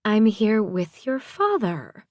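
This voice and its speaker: A woman narrator performs a teasing mother in a romance audiobook. Her voice lifts higher, lilting and affectionate, gently chiding. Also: sing-song, takes it slowly, no room sound, clean studio sound. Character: bright, affectionate, teasing mother of grown daughter.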